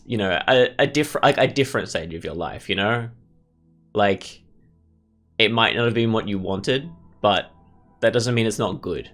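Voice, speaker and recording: A man's voice: on the low side, fairly bright tone. A man giving casual let's play commentary, thinking aloud in tentative fragments. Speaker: gaming host. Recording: music playing behind commentary. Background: music.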